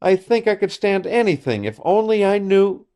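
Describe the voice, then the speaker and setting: A man's voice: mid-range voice, very animated, takes it slowly, pitched above the performer's own voice. A man reading aloud voices an anxious young woman on the edge of tears, in a pleading tone. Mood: distressed.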